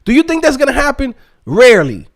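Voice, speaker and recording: A man with a high-pitched voice gives a casual motivational rant to his online viewers. His intonation swings widely as he talks. He is emphatic and streetwise, leaning on slang and checking in with short tag questions. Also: wildly swooping pitch, a little fast. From Dominican Republic. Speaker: YouTube host and developer coach.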